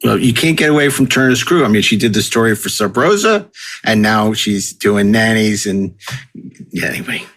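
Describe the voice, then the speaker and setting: A man's voice: fairly low pitch, animated, moderate pace, fairly bright tone. A man hosting a podcast interview in casual chat, circling and repeating words as he forms his questions. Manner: rambling, repeats words while forming questions. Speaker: podcast host.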